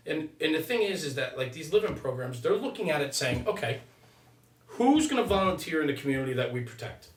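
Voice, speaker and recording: A man's voice: a little high, very animated, brisk. A man explaining his opinions conversationally, emphatically punctuating his points with "right?". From United States. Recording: roomy echo, distant from mic.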